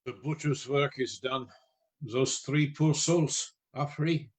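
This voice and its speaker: A woman's voice: a bass voice, steady tone, slow pace, breathy edge, exaggerated voice, low-quality recording. A woman playing a resolute, devout vampire hunter in a radio play, emphatic and solemn. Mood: weary, solemn.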